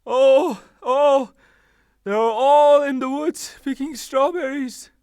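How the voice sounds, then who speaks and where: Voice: high-pitched, fairly even intonation, slow, darkish tone, dry recording, clean studio sound. A performer playing a timid, fretful old male servant in a theatrical audio drama, pleading and wailing. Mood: panicked, wailing.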